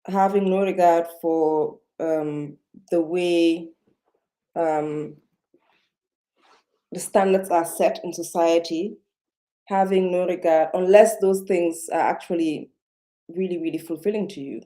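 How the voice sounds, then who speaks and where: A woman's voice: lowish voice, steady tone, slow, pauses often, dark tone, low-quality recording. A woman speaking English as a second language, talking to camera in an unscripted self-help video, hesitant with many fillers and restarts. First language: not English. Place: indoors, seated. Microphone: webcam or computer mic.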